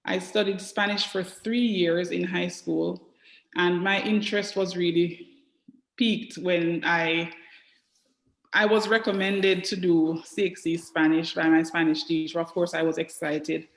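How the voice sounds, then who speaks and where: A woman's voice: fairly low pitch, level tone, unhurried, gravelly. A middle-aged woman with a Jamaican accent, telling her own story as an interview guest in an echoey room. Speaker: interview guest, dental therapist. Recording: roomy, echoey video call.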